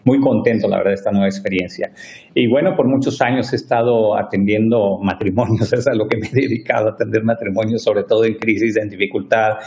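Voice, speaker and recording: A man's voice: fairly low pitch, brisk, low-quality recording. Male priest, easygoing and jokey, video-call audio. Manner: relaxed, jokey, laughs while talking.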